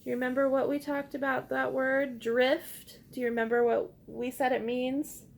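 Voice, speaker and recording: A woman reading a picture book aloud to young children like a teacher, expressive and encouraging, pausing to ask simple questions and invite repeats. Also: high-pitched, gravelly. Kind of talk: interactive children's read-aloud with call-and-response prompts.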